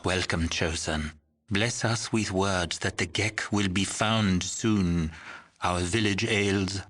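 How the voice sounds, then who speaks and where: An aged village mystic for a video game. He speaks very slowly in a breathy, husky voice on the low side. His phrasing is solemn, ceremonious and full of cryptic poetry. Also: a bit bright, full character voice. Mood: serene, ceremonious. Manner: slow, ceremonious, poetic phrasing. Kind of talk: dramatic video game character acting.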